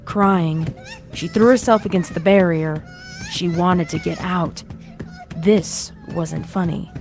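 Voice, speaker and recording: A woman's voice: lowish voice, fairly even intonation, slow pace, darkish tone. A woman reading a horror story as its narrator, in a dramatic scripted style. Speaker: fan fiction narrator. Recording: music behind the reading.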